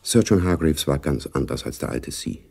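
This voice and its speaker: A man's voice: rumbling low, expressive, moderate pace, vocal fry, mellow, breathy edge, exaggerated voice. A man narrating a spy novel in a German radio drama, as a sober literary storyteller. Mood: sober, reflective.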